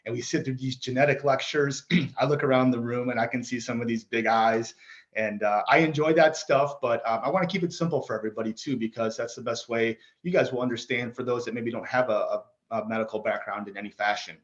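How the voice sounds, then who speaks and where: A man's voice: mid-range voice, fairly quick, muffled recording. A man, a chiropractor, giving an informal talk to parents in plain words, with ums and kinda. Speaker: chiropractor presenting. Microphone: computer mic on a video call.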